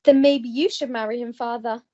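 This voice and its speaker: A woman's voice: fairly high pitch, fairly even intonation, moderate pace, mellow, close to an everyday voice. A woman playing an innocent, lovelorn young English daughter in an amateur melodrama radio play. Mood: despairing. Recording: slightly dull lo-fi recording.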